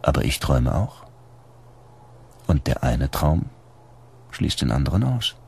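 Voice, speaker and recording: A man acting a brooding, reflective narrator in a radio drama, speaking in a measured, husky way. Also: big pitch swings, darkish tone, full character voice. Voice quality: husky, low.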